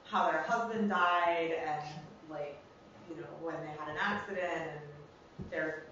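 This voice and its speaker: A woman's voice: sits low, measured pace. Young American woman, very echoey distant recording. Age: young adult. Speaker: student researcher presenting fieldwork. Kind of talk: informal spoken presentation. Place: reverberant indoor room, recorded from afar. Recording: very echoey room, distant muffled mic, noisy.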